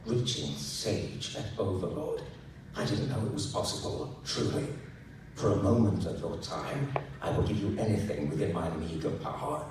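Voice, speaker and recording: A man's voice: low voice, lively intonation, normal speed. A man playing a formal, earnest and exasperated emperor, speaking in a stiff courtly manner for a story reading. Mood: pleading. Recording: echoey noisy room, recorded from far off.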